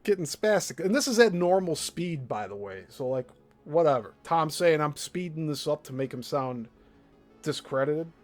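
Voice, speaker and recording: A man's voice: on the high side, expressive, moderate pace, soft background music. A man with a Midwestern accent hosting a live stream, commenting bluntly and with exasperation on clips he plays. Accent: Midwestern American. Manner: blunt, exasperated, laughs often. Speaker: show host.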